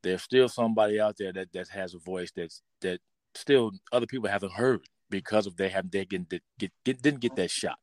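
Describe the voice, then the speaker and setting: A man's voice: low voice, brisk, dry recording. A middle-aged man with an American accent, talking casually and reminiscing as an interview guest, informal and often repeating his phrases. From Pontiac, Michigan, United States. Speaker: interview guest, performing artist.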